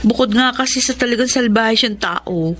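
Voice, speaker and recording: A woman's voice: on the high side, moderate pace, fairly bright tone, a little hiss or hum, close to an everyday voice. A woman with a Filipino accent playing an earnest, protective young older sister in a radio drama. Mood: earnest, worried. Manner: earnest, cautioning.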